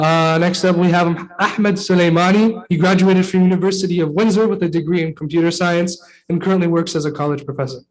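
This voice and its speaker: A young man emceeing a youth career fair, briskly reading out speaker introductions. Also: high voice, fairly even intonation, poor audio. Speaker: event emcee.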